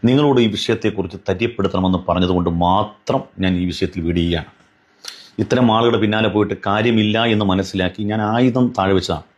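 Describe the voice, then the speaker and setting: A man giving an admonishing religious commentary, earnest and pleading, driving points home with repetition and rhetorical questions. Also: low-pitched.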